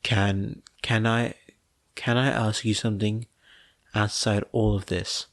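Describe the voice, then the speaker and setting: Quiet, reserved teenage boy read by a man. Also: fairly low pitch, dry recording, studio quality. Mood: hesitant. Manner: flat, halting, understated. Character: quiet, reserved teenage boy, earnest and troubled younger brother. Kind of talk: audiobook character dialogue.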